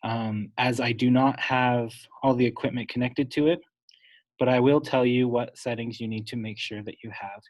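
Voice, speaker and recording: A man giving an unscripted, instructional step-by-step software tutorial, talking wordily and correcting himself as he goes. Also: mid-range voice, steady tone, moderate pace, poor audio. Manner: instructional, wordy, with self-corrections.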